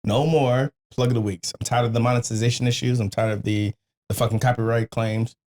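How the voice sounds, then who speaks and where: A man's voice: mid-range voice, steady tone, a little fast, a little mellow. A man with a mid-range voice hosts a casual podcast. He tells stories in an informal, slangy way and swears freely as he talks. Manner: profane, slangy, informal storytelling. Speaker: podcast host.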